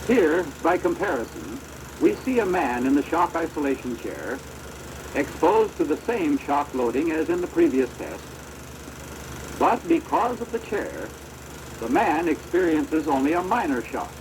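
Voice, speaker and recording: An American man giving formal, measured instructional narration for a training film, on a deteriorated old projector soundtrack. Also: a little high, very animated, background noise.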